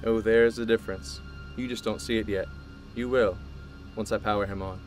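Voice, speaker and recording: An actor playing an earnest, secretive male scientist in an audio drama, speaking in a measured, formal way. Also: lowish voice, steady tone, faint music behind, natural voice. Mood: proud, explaining.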